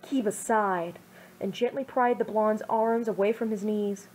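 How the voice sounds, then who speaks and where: A young woman giving a gentle, earnest amateur reading of a fan fiction story on a home microphone. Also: mid-range voice, fairly even intonation, measured pace, a little hiss or hum, low-quality recording.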